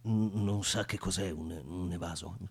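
An actor playing a timid, nervous escaped convict chained to a bed, stammering through every sentence in a radio play. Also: male voice, deep voice, steady tone, moderate pace, darkish tone, breathy edge. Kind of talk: radio theatre acting.

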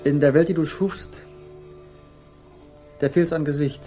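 An actor playing an eccentric young hippie poet in a radio drama, reciting in a declamatory, mock-solemn way. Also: male voice, a little high, steady tone, measured pace, dark tone, faint music behind, close to an everyday voice. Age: young adult.

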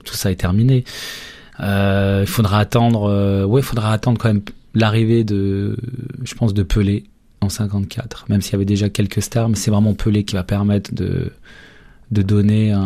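A man's voice: fairly low pitch, flat delivery, moderate pace, mellow. A man speaking as a radio interview guest, colloquial and hesitant, often repeating his words as he explains. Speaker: interview guest, journalist who researched football history.

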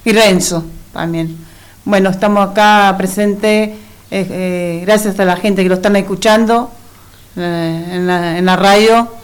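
A woman co-hosting a casual community radio programme, speaking haltingly as she searches for words. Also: mid-range voice, fairly even intonation, clear, resonant tone. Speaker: radio co-host.

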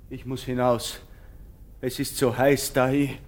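A man's voice: mid-range voice, little pitch movement, a little slow, loud music behind the voice, lightly acted. An actor playing a feverish young soldier losing his mind in a German radio play, speaking in obsessive, broken fragments. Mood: restless, feverish. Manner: obsessive repetition in broken fragments, swinging between whisper and outburst.

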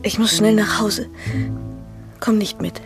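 An actress playing a tender, eager aristocratic lady in love in a radio drama, soft and earnest. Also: mid-range voice, little pitch movement, measured pace, music in the background, close to an everyday voice. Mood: tender, searching.

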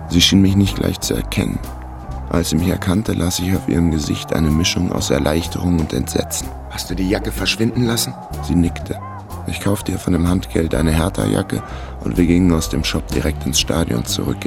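A man's voice: extremely low pitch, creaky. A man narrating a radio crime drama as a laconic amateur sleuth, in a terse, matter-of-fact way. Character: laconic male amateur sleuth telling his own case.

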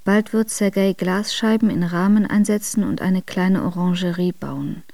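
A woman playing a devoted young exiled noblewoman in a radio drama, reading her letters in a measured, intimate and formal way. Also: lowish voice, dark tone, no echo, lightly acted. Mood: quietly content.

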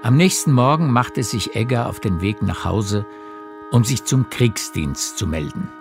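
A man reading scripted literary narration for a radio drama in a measured storytelling manner. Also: medium pitch, lively intonation, vocal fry, over music.

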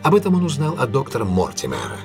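An actor playing a brilliant, assured detective who lays out a case in a deliberate, emphatic, analytical way in an audio drama. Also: male voice, mid-range voice, wildly swooping pitch, creaky, over music. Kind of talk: audio drama dialogue, expository monologue.

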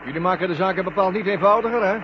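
A man playing an excitable, eager astronaut crewmate in a vintage science fiction radio play. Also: high-pitched, racing, close to an everyday voice. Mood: wry. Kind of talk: 1970 Dutch science fiction radio drama acting. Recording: muffled, noisy old radio play recording.

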